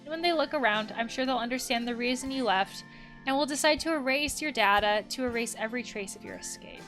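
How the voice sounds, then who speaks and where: A woman's voice: on the high side, quick pace, fairly bright tone, faint music behind, close to an everyday voice. A woman voicing a supportive young female friend from a video game, reading out a message in an encouraging way. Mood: reassuring.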